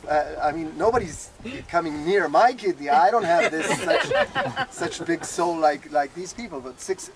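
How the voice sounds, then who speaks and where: A man in his thirties from Israel, talking casually and jokingly to a tour group on a hilltop lookout, with many fillers and restarts. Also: sits high. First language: Hebrew. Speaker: local guide. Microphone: far camera.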